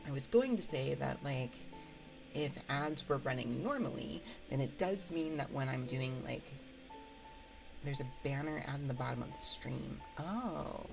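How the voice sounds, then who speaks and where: A woman livestream host chatting casually on an ASMR stream into a close binaural mic, thinking aloud in a halting, conversational way. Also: a bass voice, creaky, rough recording. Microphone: close binaural microphone. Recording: background music behind voice. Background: music.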